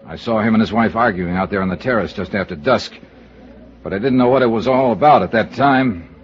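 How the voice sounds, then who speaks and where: An American actor playing a cool, dry-witted investigator in an old-time radio drama. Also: male voice, fairly low pitch, a little fast, a little hiss or hum, natural voice. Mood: dry, probing. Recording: muffled old radio broadcast recording.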